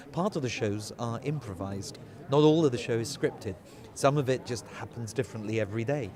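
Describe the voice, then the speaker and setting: A man who works in theatre, giving an explanatory interview in a conversational way. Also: medium pitch. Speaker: pantomime theatre practitioner. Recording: busy crowd background.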